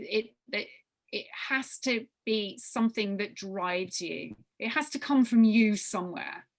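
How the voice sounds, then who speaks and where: Woman workshop leader, bright forceful voice, thinks aloud and repeats phrases for emphasis. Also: medium pitch. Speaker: workshop leader briefing young writers. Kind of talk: informal spoken briefing with question and answer.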